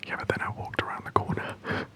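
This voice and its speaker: A young adult telling a personal story in a soft, unvoiced whisper, candid and self-deprecating, often breaking into laughter. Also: fast talker. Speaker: storytelling vlogger.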